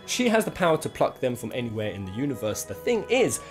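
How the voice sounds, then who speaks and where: A man's voice: medium pitch, huge pitch swings, fast talker. A man hosting a countdown video with casual, unscripted commentary, talking in an emphatic, jokey, sweary, slangy way. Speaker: video host presenting a game countdown. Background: game soundtrack music.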